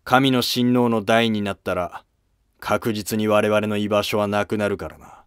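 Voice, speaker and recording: A man's voice: lowish voice, flat delivery, unhurried, clear, resonant tone, no room sound, clean studio sound, natural voice. A man playing an ambitious, scheming Heian courtier with formal, calculating period speech. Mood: plotting.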